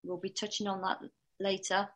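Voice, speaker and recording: A woman dog trainer teaching a workshop in a calm, steady voice that she pushes from the diaphragm. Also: mid-range voice, moderate pace.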